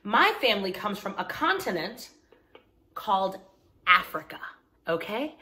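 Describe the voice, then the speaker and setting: A woman hosting a story time for young children, speaking directly to them in simple, clear phrases as she talks and reads aloud. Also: mid-range voice, sing-song, a little slow, bright, forceful tone. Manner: addresses listeners directly, simple explanations with check-in questions.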